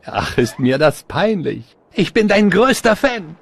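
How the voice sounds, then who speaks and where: A man voicing a gushing, polite racing car who is an adoring fan, effusive and flattering, in an animated film dub. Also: sits high, unhurried, dry recording, full character voice. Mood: starstruck.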